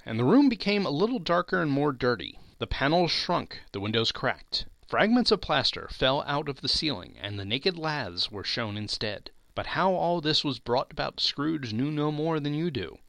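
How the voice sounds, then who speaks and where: A man's voice: a little high, big pitch swings, normal speed, gravelly, few pauses, fairly bright tone, no room sound. A man reading a classic story aloud as dramatic narration, theatrical and emphatic.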